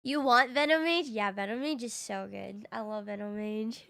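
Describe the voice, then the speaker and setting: A woman game streamer chatting casually with her viewers while she plays, slightly raspy from just waking up, easygoing and quick to laugh. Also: a little high, a bit bright. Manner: chatty and easygoing, laughs often, thinks aloud. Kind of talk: casual live-stream chat, answering viewers.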